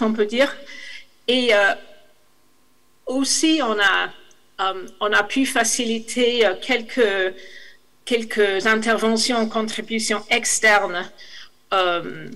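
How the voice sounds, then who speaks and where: Brief: non-native woman consultant, slow and hesitant delivery, English accent, video call. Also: fairly high pitch, fairly even intonation, a bit bright, low-quality recording. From England. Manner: hesitant, many fillers and restarts. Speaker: international consultant. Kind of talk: online conference presentation.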